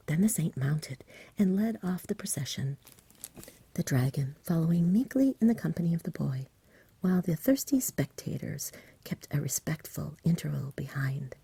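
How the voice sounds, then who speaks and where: A woman reading a bedtime story in a soft, whispery ASMR style, gentle and unhurried, close to the mic. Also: low voice, dry recording. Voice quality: whispery, breathy. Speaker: storybook reader.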